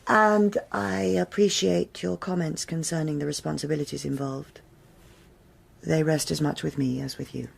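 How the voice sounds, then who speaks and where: A woman playing a crisp, commanding police superintendent in a British radio crime drama, formal and measured. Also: sits low, steady tone, exaggerated voice. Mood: stern, controlled.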